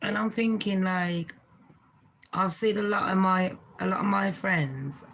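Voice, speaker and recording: A woman with a deep voice hosting her own channel, giving blunt, swearing, chatty commentary. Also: unhurried, fairly bright tone. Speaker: channel host. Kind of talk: casual reaction commentary.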